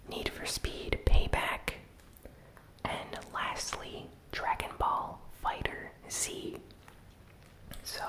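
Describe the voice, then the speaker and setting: Asmr host whispering gaming news, real slow n soft, casual and breathy. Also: rough recording. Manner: unhurried, soft-spoken, informal.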